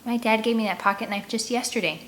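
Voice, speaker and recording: A woman narrating a first-person story in an animated, expressive read-aloud manner. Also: on the high side, fast talker. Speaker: audiobook reader. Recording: clear background ambient noise.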